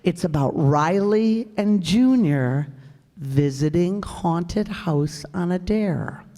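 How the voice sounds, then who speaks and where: A woman's voice: fairly low pitch, expressive, takes it slowly, dark tone. A woman presenter giving a prepared spoken introduction to a show. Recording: echoey hall stage recording.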